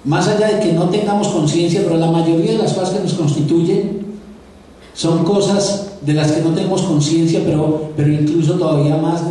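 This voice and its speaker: Paisa-accented male professor, mellow, rather high voice, lecturing live, heard from far off in an echoey auditorium. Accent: Paisa (Antioquian Spanish). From Antioquia, Colombia. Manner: conversational, addresses audience directly, repeats words while thinking.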